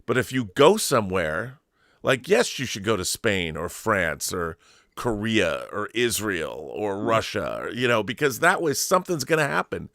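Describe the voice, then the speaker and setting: Low-voiced man in earnest chat. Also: sing-song, gravelly, fairly bright tone, no room sound, studio quality. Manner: halting, repeats words and restarts often. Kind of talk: casual enthusiastic interview chat.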